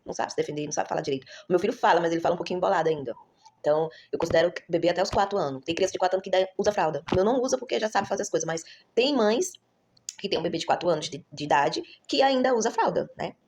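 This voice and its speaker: A woman's voice: lowish voice, expressive, rapid-fire, creaky, a little mellow, a little hiss or hum. A woman, chatting to her viewers in a rambling vlog style and correcting herself often. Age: 30s. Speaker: vlogger, mother. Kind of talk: casual vlog storytelling.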